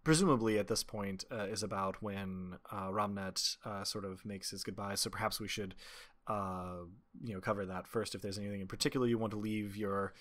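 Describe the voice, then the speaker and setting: A man with a fairly low voice runs a tabletop game. He narrates the scene haltingly as he improvises, with a wry touch. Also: steady tone, a bit bright. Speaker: game master.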